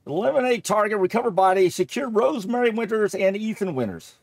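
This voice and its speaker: A man's voice: high voice, expressive, quick pace, gravelly, no room sound. A man giving casual Let's Play commentary on a horror game, rambling and thinking aloud as he plays, often repeating himself. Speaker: gamer narrating a horror game playthrough.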